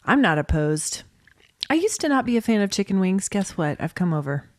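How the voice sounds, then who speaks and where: A woman's voice: medium pitch, sing-song, a little fast, no echo, studio quality. A woman co-hosting a podcast in casual chat, with a playful, teasing manner. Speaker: podcast co-host.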